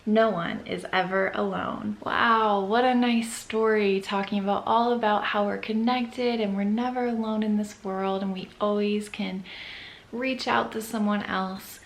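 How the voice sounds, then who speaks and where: A woman's voice: mid-range voice, fairly even intonation, measured pace. A woman hosting a read-aloud for children, narrating a storybook. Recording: echoey room.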